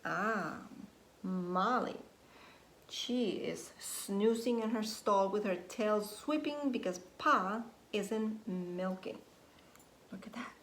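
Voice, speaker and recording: A woman nursery teacher reading a story aloud to young children in an expressive, deliberate way, prompting them with questions. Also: mid-range voice. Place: indoors at home. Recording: roomy echo, faint background noise, home recording.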